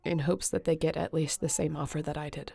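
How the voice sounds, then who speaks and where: A woman playing a tired, tender, worried young woman in an intimate sci-fi audio roleplay, speaking softly and earnestly. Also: deep voice, fairly even intonation, fairly quick, vocal fry, slightly rough, full character voice. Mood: gentle, reassuring.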